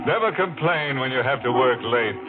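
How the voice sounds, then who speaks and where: A man's voice: medium pitch, animated, normal speed, natural voice. A man playing a ghoulish, gleefully morbid radio horror host with a sardonic, theatrical drawl. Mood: morbid glee. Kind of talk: 1940s radio horror hosting. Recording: tinny, band-limited old radio, music behind.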